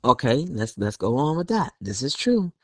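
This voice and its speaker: A man's voice: medium pitch, sing-song, normal speed, a little mellow, dry recording, clean studio sound. A man hosting a podcast, reading documents aloud and giving opinionated, conversational commentary. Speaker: podcast host.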